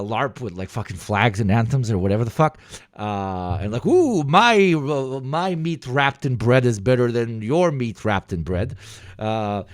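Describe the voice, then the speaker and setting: A man with an Eastern European accent, reacting to a video in casual, profane, rambling commentary with sudden animated bursts. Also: mid-range voice, no echo, studio quality.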